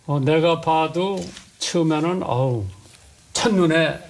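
A man's voice: a little high, slow, slight background noise. An older man hosting a conversational interview, asking blunt questions in an informal, familiar tone. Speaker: host.

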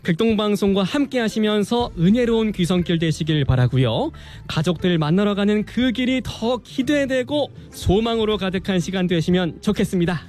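Playful high-voiced male radio entertainer. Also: animated, normal speed, few pauses, darkish tone, faint music behind. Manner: jokey, buzzes like a bee.

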